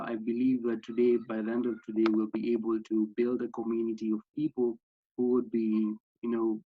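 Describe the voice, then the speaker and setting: A man's voice: mid-range voice, darkish tone, no echo. A young man from Kenya with a Kenyan accent, earnestly presenting an online workshop over a video call, with many fillers and restarts. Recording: slightly dull lo-fi sound.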